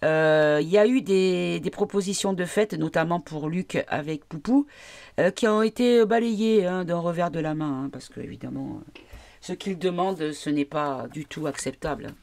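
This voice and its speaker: A woman's voice: fairly low pitch, normal speed, creaky, a little mellow. A woman with a cold, reading tarot cards for her viewers in a casual, hesitant way, often asking the cards questions aloud. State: has a cold. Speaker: tarot card reader.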